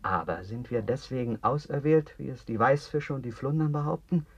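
A man acting an anxious, philosophising character in a German radio play, declamatory and rough-edged. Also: mid-range voice, normal speed, dull audio. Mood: urgent, insistent.

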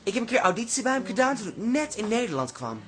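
An actress playing an excitable, starstruck friend in a radio drama, effusive and eager for gossip. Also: female voice, on the high side, fast talker. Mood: admiring. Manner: effusive, exclaiming.

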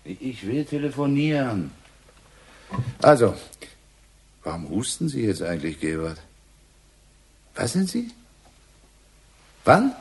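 Detective lead, radio play: gruff provincial inspector, deep and slightly husky, curt, probing. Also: male voice, full character voice. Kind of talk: radio crime drama acting.

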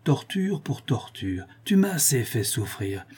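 A man voicing a cruel, vengeful criminal brute with a slow, hollow, menacing delivery in a storybook reading. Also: mid-range voice, animated, mellow, breathy edge, pitched above the performer's own voice. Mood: cold vengeance.

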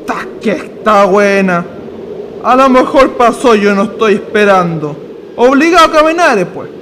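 A very high-voiced, folksy Chilean countryman played by a male actor chats in rustic slang. It is radio drama, with a long reverb and faint noise behind. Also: expressive, normal speed, sharp tone. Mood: casual, teasing. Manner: colloquial, rustic. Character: chatty, folksy rural farm labourer, male.

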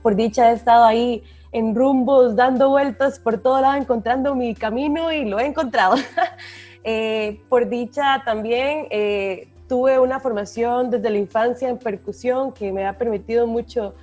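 A woman with a Costa Rican Spanish accent co-hosting a podcast. She speaks warmly and with enthusiasm. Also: a little high, steady tone, normal speed, faint music behind. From Costa Rica. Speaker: podcast co-host, environmental educator. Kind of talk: casual podcast chat.

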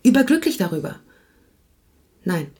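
An actress playing a candid, disillusioned wife in a radio drama, speaking in a measured, blunt way with short plain sentences. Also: female voice, fairly high pitch, sing-song, warm tone, husky. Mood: painfully honest. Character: candid, disillusioned wife of a playwright, cool and direct. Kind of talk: German radio play acting.

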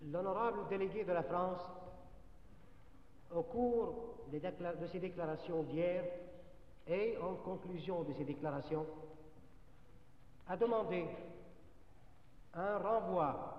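A man with a high voice speaks to an assembly with great slowness and care. He is far from the mic, and the hall echoes long behind him. The old recording sounds dull and muffled. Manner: slow, deliberate, measured. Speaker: delegate. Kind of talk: formal assembly speech. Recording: archival 1947 broadcast from a large hall, distant.

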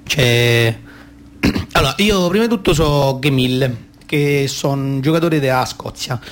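A man's voice: mid-range voice, a little hiss or hum, lightly acted. A man playing a naive, boastful football-mad boy in a stage play, rambling colloquially with a Roman accent. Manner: childlike, rambling, eager, easily distracted. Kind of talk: colloquial stage monologue and comic dialogue.

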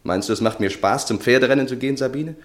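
An actor playing a weary, hard-drinking young charmer in a theatrical, cajoling 1960s German radio play style. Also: male voice, mid-range voice, fast talker, natural voice. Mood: weary, exasperated.

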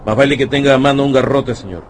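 A man's voice: medium pitch, fast, a little hiss or hum, natural voice. A man playing a cautious, pessimistic assistant in a radio drama, deferential but full of warnings. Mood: worried warning. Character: cautious, pessimistic male assistant, respectful to his boss.

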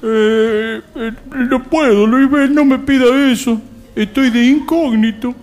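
A man's voice: very high voice, takes it slowly, a little mellow. A man playing a sheepish, evasive Argentine political official on the run in a satirical radio sketch, mumbling indistinctly. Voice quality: muffled, poorly articulated.

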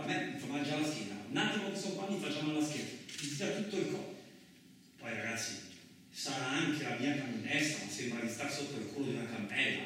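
A man acting in a radio play as a gruff, crude truck driver who tells his story in blustering, rambling, colloquial speech. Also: medium pitch, sharp tone. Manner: blustering, rambling, conversational, mildly swearing. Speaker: actor in a solo radio play. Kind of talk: colloquial theatrical radio monologue. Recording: roomy, echoing distant miking with faint noise.

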